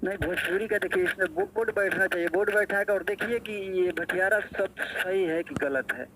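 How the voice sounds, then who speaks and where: A man from Bihar with a Bihari accent, defending himself in a halting, repetitive way on a phone call. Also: high voice. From Arrah, Bihar, India. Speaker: singer being interviewed. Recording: noisy phone call recording.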